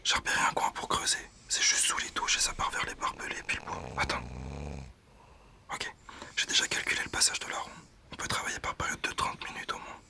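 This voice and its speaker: An actor playing a determined young prisoner, a bossy older brother who whispers urgently and secretively in an audio drama. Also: male voice, brisk, lightly acted. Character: determined twenty-year-old prisoner, bossy older brother plotting escape.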